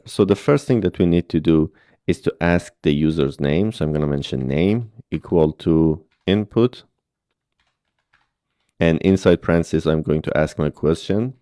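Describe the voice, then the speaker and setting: A man guides beginners through coding tasks one step at a time. His voice sits very low and mellow. The sound is close and dry, with pauses to ask whether the learner is following. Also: no echo. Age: 30s. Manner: patient step-by-step explaining with frequent "okay?" checks. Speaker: programming instructor. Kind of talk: screen-recorded coding tutorial.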